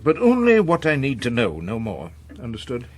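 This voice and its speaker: An actor playing a guarded British minister in a radio drama, terse, impatient and careful to protect himself. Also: male voice, medium pitch, wildly swooping pitch, moderate pace. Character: wary, self-protective male government minister.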